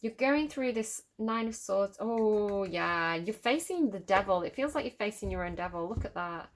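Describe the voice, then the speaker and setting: A woman's voice: mid-range voice, lively intonation, normal speed. Manchester woman musing through a tarot reading. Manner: musing, repeats phrases, intuitive; reads card meanings aloud from a book. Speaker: tarot reader. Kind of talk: casual tarot reading to camera.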